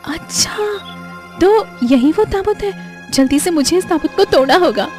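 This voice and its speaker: Woman voicing a very high-pitched, brave young cartoon heroine. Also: lively intonation, normal speed, over loud music. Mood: amazed. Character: brave, earnest young heroine on a rescue quest. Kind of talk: Hindi cartoon moral story acting.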